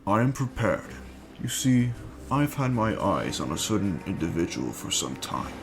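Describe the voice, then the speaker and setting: A man acting in an amateur dramatic reading as a scheming, menacing villain bent on conquest, speaking in a measured, formal, commanding way. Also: low-pitched. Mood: cold, calculating. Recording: music bed under the voice.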